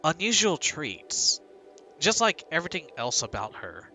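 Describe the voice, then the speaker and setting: A man's voice: on the high side, big pitch swings, unhurried, sharp tone, soft background music, rough recording. A male streamer reading a game's text aloud and breaking off for joking, rambling asides.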